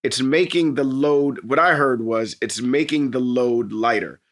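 A man's voice: mid-range voice, unhurried. A man co-hosting a podcast with casual banter, blunt and joking, often repeating himself. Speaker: podcast co-host.

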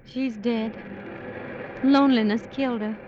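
A timid young woman of an old radio play, acted by a woman, speaks in a high, wistful voice with hesitant pauses. She sounds lonely and fearful. The broadcast is muffled, with clear noise over it. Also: fairly even intonation, natural voice. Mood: melancholy confession. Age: young adult. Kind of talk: old-time radio drama acting.

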